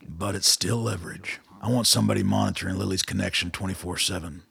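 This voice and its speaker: An actor playing a gruff, irritable male colonel in a radio play, blunt, curt and suspicious, with a gravelly voice. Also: sits low, steady tone, fast, fairly bright tone, exaggerated voice. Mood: wary, annoyed.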